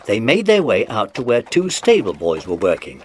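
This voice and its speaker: A man's voice: on the low side, wildly swooping pitch, moderate pace, slight background noise. A British man narrating a scripted children's audiobook story.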